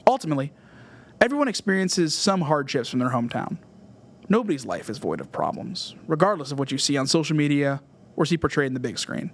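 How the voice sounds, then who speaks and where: A man's voice: a little high, big pitch swings, fairly quick. A man from Southern California, hosting a solo music podcast in an earnest, scripted essay style. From Southern California, USA. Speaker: podcast host.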